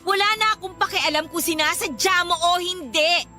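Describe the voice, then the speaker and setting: High, bright-voiced defiant young woman. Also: moderate pace. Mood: cold, exasperated. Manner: exasperated, snappy. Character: irritated, defiant young woman fed up with her clingy boyfriend. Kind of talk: radio drama acting. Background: music.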